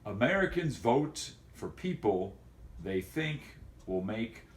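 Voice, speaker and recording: A man's voice: on the low side, very animated, slow. Man teacher talking in an echoey room. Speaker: teacher and host of remote-learning videos. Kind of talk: casual educational vlog for students, with read-aloud.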